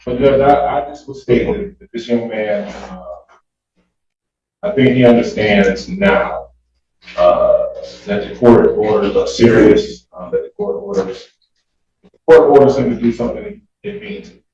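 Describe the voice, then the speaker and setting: A distant, very echoey recording of a male defense lawyer in a courtroom. His voice is mellow and a bit muffled, and he pleads in a hesitant, deferential, halting way. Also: mid-range voice, lively intonation. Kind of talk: spontaneous plea to a judge in court. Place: indoor courtroom.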